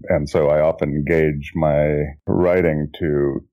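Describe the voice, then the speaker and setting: A middle-aged man from Indonesia, a guest telling his life story in a relaxed, reflective way, often restarting his sentences and chuckling. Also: rumbling low, slow pace. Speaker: guest, children's book author and graphic designer. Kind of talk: relaxed interview conversation. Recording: dull lo-fi audio.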